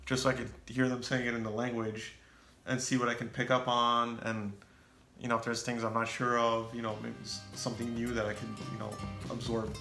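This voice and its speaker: A young American man chatting casually as co-host, relaxed and rambling with fillers. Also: medium pitch, fairly quick. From United States. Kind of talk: casual chat. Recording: echoey room with faint music.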